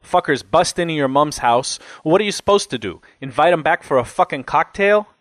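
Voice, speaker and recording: A man's voice: a little high, lively intonation, fairly quick, a bit bright, dry recording, voice pushed up. A man voicing an angry, foul-mouthed jailed man in his late twenties, ranting and agitated in an audiobook story. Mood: furious, indignant. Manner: agitated, ranting, heavy swearing.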